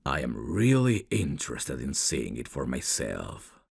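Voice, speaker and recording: A man playing a menacing, teasing mafia boss in an ASMR roleplay, speaking in a deliberate, taunting way. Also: rumbling low, wildly swooping pitch, creaky, slightly rough, no echo, studio quality, full character voice. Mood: calm, menacing. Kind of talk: ASMR roleplay audio drama.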